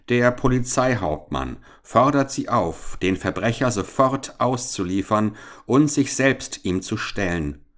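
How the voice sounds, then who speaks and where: A man's voice: medium pitch, voice pushed up, close to an everyday voice. A man reading aloud and voicing a stiff, formal army sergeant delivering demands in a storybook tale. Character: stiff, officious adult male army sergeant envoy.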